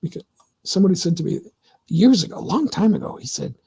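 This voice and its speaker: A middle-aged man hosting his own livestream, talking casually and answering chat in a rambling, opinionated way with many halting restarts. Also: high voice, big pitch swings, gravelly, dark tone, slightly rough. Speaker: livestream host.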